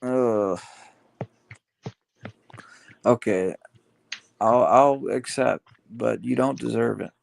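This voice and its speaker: A man hosting a casual livestream chat, rambling and halting with many fillers. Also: medium pitch, creaky, dry recording. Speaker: livestream host.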